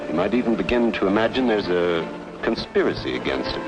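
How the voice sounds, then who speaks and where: An actor playing a calm, wry doctor in an old noir drama, with a measured, probing manner. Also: male voice, lowish voice, music in the background, natural voice. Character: urbane, inquisitive male doctor, calm and wry.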